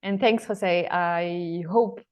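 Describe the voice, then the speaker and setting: A woman's voice: lowish voice, steady tone, takes it slowly, clean tone. A woman from Tunisia, hosting a podcast interview in a casual, hesitant way with many fillers. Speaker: podcast host.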